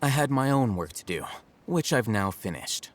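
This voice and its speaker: A man playing an aloof, coolly logical young scholar in a video game, speaking in a measured, dry, condescending way. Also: mid-range voice, big pitch swings, heavy background noise, natural voice. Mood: matter-of-fact. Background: music.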